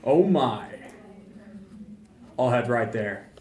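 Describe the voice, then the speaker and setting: A man's voice: mid-range voice, lively intonation, extremely slow, a little mellow. Young man acting an earnest detective, roomy echo. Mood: eager, flustered. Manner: earnest, talks to himself in noir monologue. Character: eager, self-pitying male small-town police detective. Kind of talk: amateur detective radio drama acting. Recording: roomy echo, music behind.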